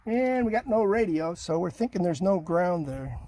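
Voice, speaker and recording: A man's voice: high-pitched, very animated, normal speed, dark tone. A middle-aged man thinking aloud in a folksy, casual way as he narrates a hands-on how-to job inside a vehicle. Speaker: DIY car project host. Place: inside a vehicle cabin, doors open. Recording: clear background noise, handling clicks.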